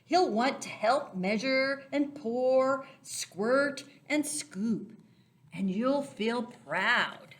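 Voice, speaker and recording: A woman reading a picture book aloud to young children as a storyteller, expressive and deliberate, stressing key words and speaking directly to her listeners. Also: a little high. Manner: talks directly to young listeners, asking simple questions. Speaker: children's storyteller for a church Sunday school.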